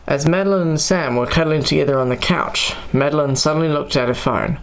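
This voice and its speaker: A man's voice: fairly high pitch, fairly quick, slight background noise. A man reading scripted audiobook narration as the story's narrator.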